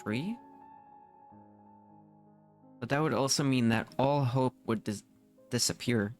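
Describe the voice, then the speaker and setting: A streamer playing through a game, reading character dialogue aloud and reacting to it. The voice sits at a middle pitch, with faint game music underneath. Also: slow.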